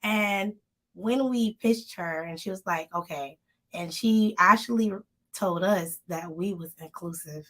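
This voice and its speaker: A woman co-hosting a casual live-stream chat, talking straight to her viewers, her voice slightly hoarse as it recovers. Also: fairly low pitch, measured pace, fairly bright tone. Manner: chatty, addresses viewers directly. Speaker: magazine co-host.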